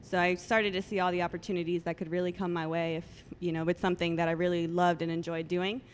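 Soft-spoken woman, Spanish her first language. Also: lowish voice, level tone, fast talker, a little hiss or hum. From El Salvador. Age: 20s. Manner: soft-spoken, many ums, laughs often. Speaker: guest photographer. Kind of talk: casual interview chat.

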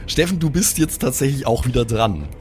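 A man's voice: medium pitch, very animated, a little fast. Guy with running a tabletop game, colloquial dramatic storytelling, music underneath. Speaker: game master. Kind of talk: improvised narration of a pen and paper audio play.

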